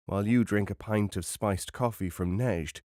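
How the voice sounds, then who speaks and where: A man reading a travel memoir as an audiobook narrator, in a measured, wry and formal literary manner. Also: lowish voice, darkish tone, no room sound, studio quality.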